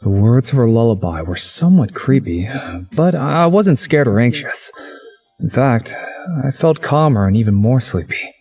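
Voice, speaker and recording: Male actor as a cocky young man, lively intonation, dramatic and sceptical, visual novel narration, music behind. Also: mid-range voice, moderate pace, slightly rough. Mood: narrating.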